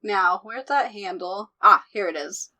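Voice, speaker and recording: A woman's voice: mid-range voice, measured pace, dull audio, natural voice. A woman playing a caring, dramatic heroine in a radio play. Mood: pleased, affectionate. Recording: noisy audio with clicks.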